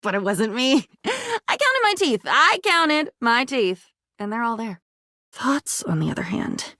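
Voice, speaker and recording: A woman's voice: fairly high pitch, very animated, unhurried, bright, forceful tone, dry recording, clean studio sound, exaggerated voice. An actress playing an unhinged, dreamy woman with a volatile temper who rambles disjointedly in a video game. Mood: fearful, haunted. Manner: disjointed rambling, swinging between wistful and agitated. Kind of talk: video game character voice acting.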